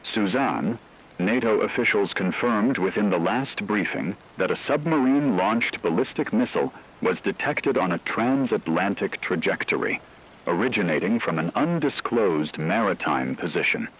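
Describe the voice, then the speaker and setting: A man playing a solemn radio news correspondent, delivering a scripted emergency war broadcast in a measured, dramatic way. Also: fairly low pitch, animated, fairly bright tone, husky, rough recording. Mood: grave, urgent.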